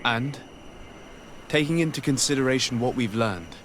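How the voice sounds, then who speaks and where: A man acting a polite, empathetic diplomat hero in a video game, speaking in a calm, courteous way. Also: mid-range voice, moderate pace, slight background noise, lightly acted. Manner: courteous, even-tempered.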